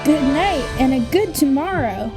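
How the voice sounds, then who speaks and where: A woman's voice: high voice, animated, unhurried, over music. A woman playing a chirpy TV news anchor in a parody newscast for a radio play. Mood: upbeat, polished.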